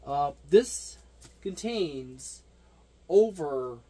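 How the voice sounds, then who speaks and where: A man giving a casual, unscripted product overview as a hobby reviewer, talking haltingly and wandering into side remarks. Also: fairly high pitch, expressive, dark tone. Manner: halting, digressive delivery. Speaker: tabletop gaming reviewer.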